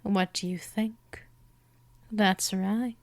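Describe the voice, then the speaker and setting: Slow, seductive woman as a vampire mistress. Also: mid-range voice, steady tone, no echo. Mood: coaxing, seductive. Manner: slow, teasing, coaxing, often dropping into breathy whispers. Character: gentle dominant female vampire, seductive, teasing, immortal predator. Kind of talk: scripted hypnosis roleplay, intimate ASMR.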